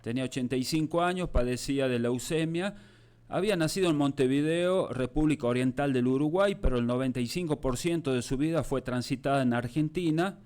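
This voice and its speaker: A man hosting a radio show, announcing a death in a formal, solemn and measured way. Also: mid-range voice, a little mellow. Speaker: radio host.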